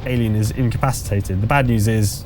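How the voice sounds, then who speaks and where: A man's voice: fairly low pitch, steady tone, brisk, darkish tone. Male game master over background music. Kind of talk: improvised tabletop game narration and rules talk.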